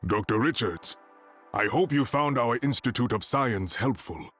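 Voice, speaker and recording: A man voicing a dignified, principled king for a video game, formal, measured and courteous. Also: medium pitch, lively intonation, a bit bright, faint music behind. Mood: composed. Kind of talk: video game character acting.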